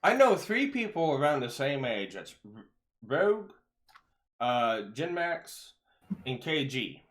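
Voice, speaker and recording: A man hosts a casual live stream in a voice of ordinary height. He speaks loosely and hesitantly, filling pauses with "uh" as he relays viewer comments. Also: mid-range voice, sing-song, fairly bright tone. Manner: hesitant, with fillers. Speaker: show host.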